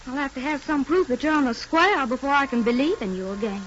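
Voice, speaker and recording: A proud young Southern sweetheart speaks in a high, reproachful voice. The 1940s radio drama recording is dull and faintly noisy. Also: female voice, fast talker, a bit bright. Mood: hurt, doubting.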